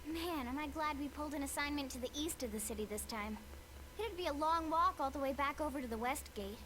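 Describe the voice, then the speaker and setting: A woman's voice: high voice, fairly quick, a bit bright, slight background noise, poor audio. A woman voicing a peppy, brash teenage girl recruit with a cocky, slangy manner in a video game. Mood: excited, cocky. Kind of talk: English video game voice acting.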